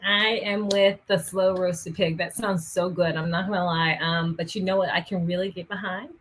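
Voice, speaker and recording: A woman from Texas co-hosts a relaxed talk show. She talks in a sassy, emphatic way, with plenty of ums and likes. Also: lowish voice, normal speed. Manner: sassy, emphatic, many fillers. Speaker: co-host. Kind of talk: casual chat.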